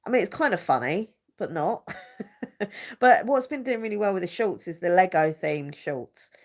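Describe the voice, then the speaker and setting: A woman's voice: on the low side, lively intonation, normal speed. A young woman with a blocked-up nose, chatting casually and rambling on a live stream. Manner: chatty and rambling, searches for words, many fillers. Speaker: YouTuber hosting a live chat.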